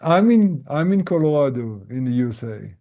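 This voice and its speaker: A man hosting a casual online group session as a coach, explaining things in a gentle manner. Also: mid-range voice, very animated, moderate pace. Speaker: host and tinnitus relief coach.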